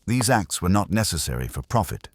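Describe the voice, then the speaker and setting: A man voicing an imperious, calm detective genius in an audiobook, pompous, formal and husky. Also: low voice, a little fast, no echo, full character voice. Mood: stern, imperious.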